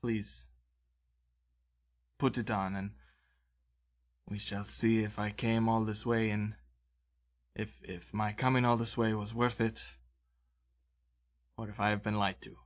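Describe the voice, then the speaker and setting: A man's voice: on the low side, level tone. Man playing a formal, guarded traveller. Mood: solemn, insistent. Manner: formal, measured phrasing.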